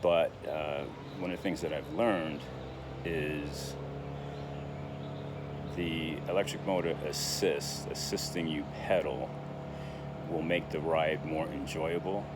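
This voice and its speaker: A man in his sixties talking casually in a vlog, halting with many fillers and restarts. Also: rumbling low, heavy background noise. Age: 60s. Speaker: vlogger.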